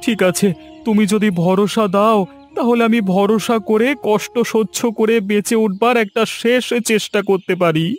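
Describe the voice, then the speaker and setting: Man acting a high-pitched, melodramatic cartoon villain. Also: fairly even intonation, normal speed, faint music behind, natural voice. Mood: pained, pleading. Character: menacing moneylender, later a whining, repentant injured husband. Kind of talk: amateur cartoon dubbing.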